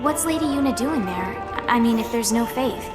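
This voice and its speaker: Game drama teen female actor: cheeky, questioning, judgmental, sarcastic edge, clear music bed underneath. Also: on the high side, lively intonation, moderate pace, lightly acted. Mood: skeptical. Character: skeptical, cheeky seventeen-year-old, blunt and judgmental. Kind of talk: acted audio drama dialogue and narration. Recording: music behind the voice.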